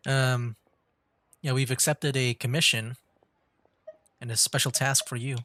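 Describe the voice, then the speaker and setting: A man's voice: medium pitch, fairly even intonation, slow, sharp tone, dry recording. A man streaming a game with casual, easygoing, rambling commentary. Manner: easygoing, chuckling, rambling asides.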